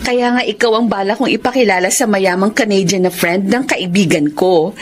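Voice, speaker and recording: An actress with a Filipino accent playing a chummy, pushy boutique boss and matchmaker in a radio drama, persuasive and worldly. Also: female voice, medium pitch, normal speed, lightly acted. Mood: coaxing.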